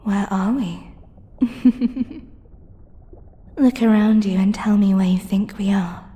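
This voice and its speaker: A woman playing a flirty, teasing mermaid in an intimate ASMR roleplay, coy and playful with breathy half-whispers. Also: medium pitch, slow, dark tone. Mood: playful, affectionate.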